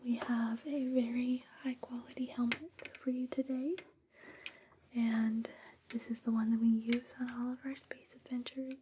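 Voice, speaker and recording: A woman performing a whispered ASMR roleplay, gentle, soothing and unhurried, close on a binaural microphone. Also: a little high, flat delivery, slight background noise.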